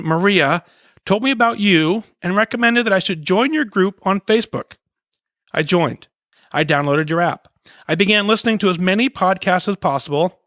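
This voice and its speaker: A man with a high voice reads a listener's letter on his podcast, earnest and measured, his sentence endings falling soft. The sound is dry and close, with no room around it. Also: no echo. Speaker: podcast host. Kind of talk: reading a listener's letter aloud.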